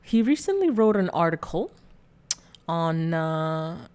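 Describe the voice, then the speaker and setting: A woman's voice: fairly low pitch, very animated, slow, a little mellow, clean tone. A woman from Rwanda hosting her own channel, talking through political commentary in a hesitant way with many fillers and small giggles. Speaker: channel host. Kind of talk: casual political commentary video.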